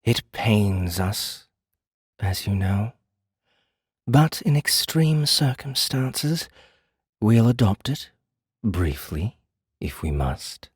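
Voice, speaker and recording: A dangerous fae prisoner speaks in a deep, breathy hush, acted by a man. His audiobook delivery is slow and formal, darkly polite, and very close and dry. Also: big pitch swings, dry recording, heavily acted. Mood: measured, dismissive. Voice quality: smooth, hushed, breathy. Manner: deliberate, measured, formal. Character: caged male fae prisoner, menacing, slow, darkly courteous. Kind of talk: audiobook character acting.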